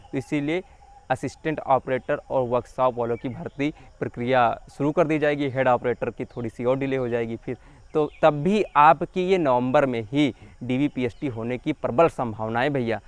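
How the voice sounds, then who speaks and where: Chatty young man, smooth dry voice. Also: medium pitch, no room sound. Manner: chatty, repetitive, addresses viewers as friends and brother. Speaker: YouTube channel host. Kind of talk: casual explainer vlog.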